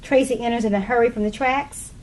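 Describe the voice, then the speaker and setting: A woman's voice: on the high side, steady tone, a little fast, darkish tone. A woman reading stage directions as scripted narration for a play.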